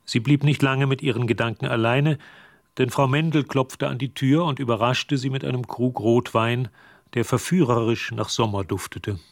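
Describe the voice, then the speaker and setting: A man's voice: mid-range voice, steady tone, moderate pace, creaky, dry recording, clean studio sound, close to an everyday voice. A man narrating a radio drama as a composed storyteller, in a scripted literary style.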